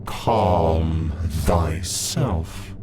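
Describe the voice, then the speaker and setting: Man voicing an achingly slow, ancient robotic caretaker. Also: rumbling low, heavily acted. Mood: soothing. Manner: slow, solemn, drawn-out archaic speech. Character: weary ancient robotic caretaker of a tomb world. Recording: music behind the voice.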